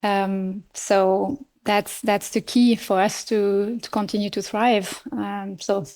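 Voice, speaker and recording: Middle-aged woman, native French speaker, French accent; podcast guest, measured and thoughtful with hesitations. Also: mid-range voice, flat delivery, darkish tone. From France. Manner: measured, many fillers and restarts. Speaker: nonprofit CEO, guest. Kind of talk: podcast interview.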